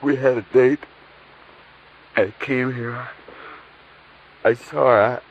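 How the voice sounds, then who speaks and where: A man playing a dazed, distraught bereaved boyfriend in a 1950s radio melodrama, halting and broken. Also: mid-range voice, steady tone. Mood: shaken, defensive. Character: grief-stricken, dazed boyfriend of a murdered woman. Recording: muffled vintage radio recording.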